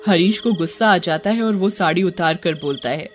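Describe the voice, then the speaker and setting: A man reads scripted story narration in a high-pitched voice. Faint music plays behind him as he tells the tale. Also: normal speed.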